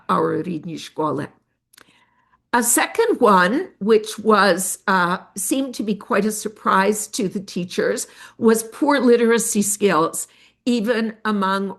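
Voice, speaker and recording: An older woman researcher giving an academic presentation of study findings, measured and pausing often with fillers. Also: mid-range voice, gravelly. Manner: measured, with frequent filler pauses.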